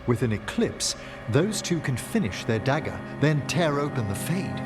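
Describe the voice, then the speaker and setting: An actor playing a grave companion in a fantasy video game, delivering serious, foreboding exposition. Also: male voice, mid-range voice, very animated, moderate pace, over music, natural voice. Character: grave male companion explaining a looming catastrophe.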